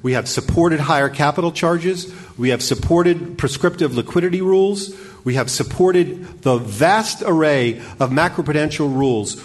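A man with a Brooklyn accent speaking as a debate panelist for an industry group. He is forceful and runs through his points one by one. His voice is slightly high. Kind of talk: debate argument.

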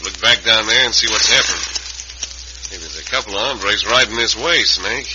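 A man's voice: lowish voice, normal speed, natural voice. A man playing a cold-blooded, gloating outlaw boss with an American Western accent and menacing folksy talk, in an old radio western. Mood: scheming. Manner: menacing, folksy rough grammar. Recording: dull, noisy old radio recording.

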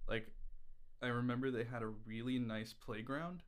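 A man's voice: medium pitch, moderate pace. A man chatting casually as a podcast guest, telling personal stories in a candid, irreverent, rambling way. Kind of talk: casual conversational storytelling.

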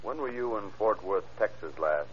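A man's voice: lowish voice, lively intonation, moderate pace, husky. An actor playing a stern, skeptical male railroad agent who questions suspects bluntly in an old-time radio crime drama. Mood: probing, firm. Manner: blunt, probing. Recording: muffled vintage radio recording.

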